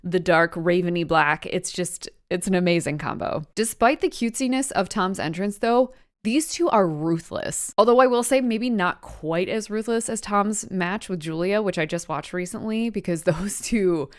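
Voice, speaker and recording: A woman's voice: fairly low pitch, moderate pace, hardly any pauses. A woman giving a casual, chatty recap to camera, enthusiastic and full of emphatic asides. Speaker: video creator and wrestling reaction host. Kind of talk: casual scripted recap vlog.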